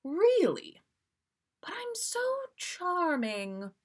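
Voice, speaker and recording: A woman's voice: extremely high pitch, big pitch swings, very slow, voice pushed up, heavily acted. A woman voicing a cocky, joking, self-centered man from a storybook in an exaggerated, playful, blustering manner. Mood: smug, curious.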